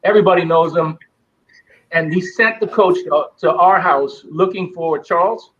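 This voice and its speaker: Man telling lively stories. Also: a little high, moderate pace, highs cut off, a little hiss or hum. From Bahamas. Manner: animated storyteller acting out quoted voices. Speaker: guest, former basketball player. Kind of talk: storytelling interview.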